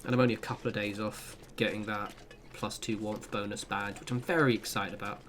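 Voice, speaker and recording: A man's voice: lowish voice, steady tone, fairly quick, a bit bright, slight background noise. A young man giving a casual, rambling gameplay guide with self-deprecating asides. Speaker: gaming channel host.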